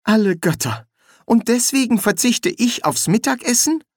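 A man's voice: very high-pitched, expressive, normal speed, no room sound, clean studio sound, pitched above the performer's own voice, exaggerated voice. A man voicing a sulky, questioning young woman in an audiobook. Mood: indignant. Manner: sullen, questioning.

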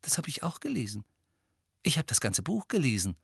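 A man voicing an opinionated, bookish teenage girl in an audiobook, speaking promptly, clearly and assertively. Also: medium pitch, wildly swooping pitch, brisk, no echo, clean studio sound, voice pushed up, full character voice. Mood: prompt, certain.

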